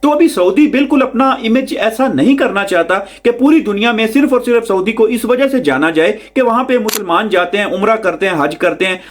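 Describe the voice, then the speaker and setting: Male vlog host, notably high voice, conversational explainer delivery, emphatic repetition, speaks directly to viewers. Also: expressive, a little fast, few pauses. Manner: explanatory, repeats phrases for emphasis, addresses listener directly. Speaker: video host.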